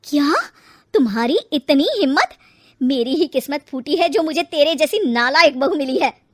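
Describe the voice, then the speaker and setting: A woman voicing a sharp-tongued middle-aged mother-in-law who scolds loudly and bossily. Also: steady tone, fast, close to an everyday voice. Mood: furious. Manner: shrill, loud and bossy.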